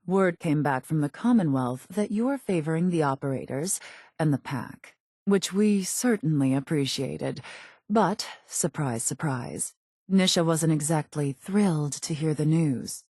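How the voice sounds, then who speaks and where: A calculating female gang leader from a post-apocalyptic game. Her voice is low, mellow and a little husky, recorded very dry. She talks with composed, haughty precision and a dry menace. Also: no echo, exaggerated voice. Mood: dry, watchful. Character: cool, calculating female raider gang boss. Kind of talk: video game voice acting.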